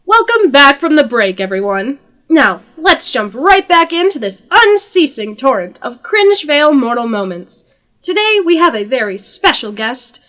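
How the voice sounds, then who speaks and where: A sardonic god played by a woman hosts a talk show in a comic audio drama. The voice sits fairly high and swings widely in pitch, teasing and theatrical, with sarcasm throughout. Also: very animated, measured pace, fairly bright tone, full character voice. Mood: mock showbiz cheer.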